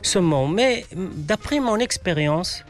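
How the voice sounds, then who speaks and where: A man's voice: high voice, lively intonation, measured pace, faint music behind. A man whose first language is Arabic, a playwright answering interview questions in a hesitant way, with many fillers and restarts. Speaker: playwright guest.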